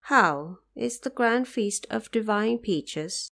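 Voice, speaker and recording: A woman voicing a calm, courteous and commanding female bodhisattva in an audio drama, speaking in a measured, formal way. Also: medium pitch, creaky, full character voice.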